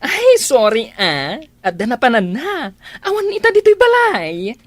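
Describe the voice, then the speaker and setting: A woman playing an excitable, playful younger sibling in a radio drama, gushing and exclaiming. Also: on the high side, measured pace, no echo, heavily acted. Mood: gushing, delighted. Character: giggly, excitable, gift-loving younger sibling.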